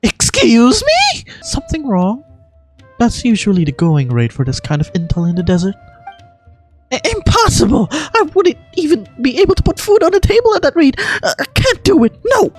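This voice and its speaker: Greedy female game merchant, high pitch, animated, whiny, theatrical, wheedling. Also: normal speed, faint music behind. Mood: outraged. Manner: theatrical, exaggerated, whiny haggling. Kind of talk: fan voice-over of video game dialogue.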